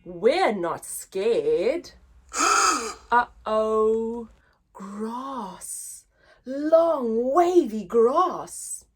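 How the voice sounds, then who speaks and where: A woman reading a picture book aloud to young children in an expressive, sing-song chanting way, drawing out words for drama. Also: a little high, drawn-out words, slightly rough.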